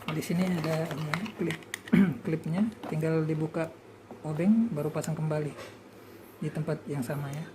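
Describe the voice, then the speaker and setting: A low-voiced woman walks someone through a device. She speaks informally, in short practical instructions, one step at a time. Clear background noise sits behind her. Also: steady tone, normal speed. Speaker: walkie-talkie seller demonstrating to a customer. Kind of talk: informal hands-on product demonstration. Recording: noisy on-location sound, clear background noise.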